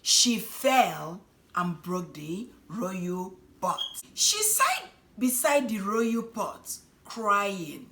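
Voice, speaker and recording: A woman telling a folk tale as a storyteller, in a dramatic, expressive manner. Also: mid-range voice, extremely slow. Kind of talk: scripted folk tale narration for children.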